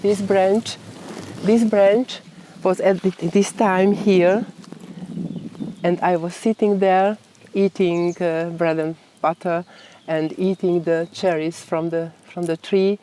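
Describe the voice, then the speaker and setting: An older woman in her seventies whose first language is Hungarian, speaking slowly and thoughtfully. She halts and restarts as she searches for the right words. Her voice carries a noticeable roomy echo. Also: on the low side, fairly even intonation, warm tone, a little hiss or hum. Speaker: poet. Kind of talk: reflective documentary interview. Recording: roomy echoey sound.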